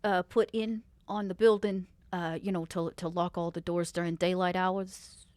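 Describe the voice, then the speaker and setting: A woman's voice: on the low side, level tone, normal speed, a little mellow, no echo, lightly acted. A woman playing a shrewd, protective vampire fixer in improvised role-play, with a folksy, slangy, coolly threatening manner. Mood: businesslike.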